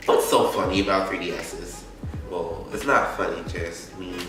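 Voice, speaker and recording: A man's voice: deep voice, steady tone, normal speed. A teenage boy vlogger chatting casually through an unboxing while sick with a cold, his throat scratchy, rambling with lots of likes and you-knows. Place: indoor room. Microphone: camera set up some distance away. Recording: very echoey room, distant mic, music behind.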